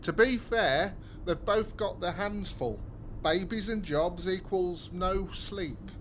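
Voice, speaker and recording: Slow, high-pitched family man. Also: a bit bright, slight background noise. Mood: reasoning. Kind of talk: naturalistic audio drama acting.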